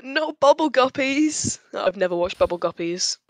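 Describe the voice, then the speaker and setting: A man chatting casually on a podcast, halting and repeating his phrases. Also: very high-pitched, lively intonation, dry recording. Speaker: podcast regular. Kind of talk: casual group chat.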